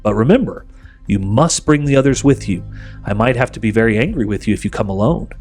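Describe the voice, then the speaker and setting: A man's voice: lowish voice, lively intonation, a little fast, a little mellow, soft background music, natural voice. A man voicing a sly, coaxing witch queen for a storybook, speaking in a grand, persuasive, commanding way. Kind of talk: audiobook character voice.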